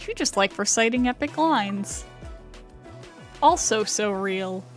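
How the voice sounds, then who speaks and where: A woman's voice: mid-range voice, animated, takes it slowly. A woman giving casual commentary as she streams a video game. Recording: game music behind the voice.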